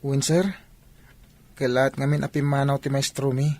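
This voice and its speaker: A man's voice: medium pitch, level tone, moderate pace, a little mellow, close to an everyday voice. A young man playing an eager, humble student athlete and son in a radio drama, with an Ilocano accent. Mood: humble surprise.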